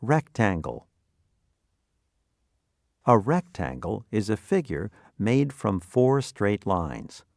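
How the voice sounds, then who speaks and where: A man's voice: fairly low pitch, very animated, slow, vocal fry, pauses often, darkish tone, no room sound, studio quality. A man narrating a scripted vocabulary lesson, reading out definitions and example sentences.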